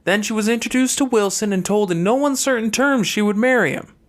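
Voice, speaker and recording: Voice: medium pitch, brisk, pitched above the performer's own voice, lightly acted. A reader voicing a calculating young girl from a wealthy family in an audiobook, airy and pointed with a haughty disdain. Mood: cool, pointed.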